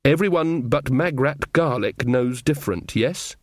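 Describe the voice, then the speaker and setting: A man voicing an exasperated young woman, a bossy, sharp-tongued bride in a storybook, with a snappish, sarcastic, commanding manner. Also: mid-range voice, measured pace, dry recording, studio quality, natural voice.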